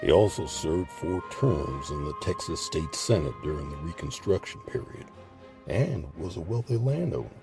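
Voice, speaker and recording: A man narrating scripted history as a documentary host, with measured, emphatic storytelling. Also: extremely low pitch, expressive, vocal fry, music in the background.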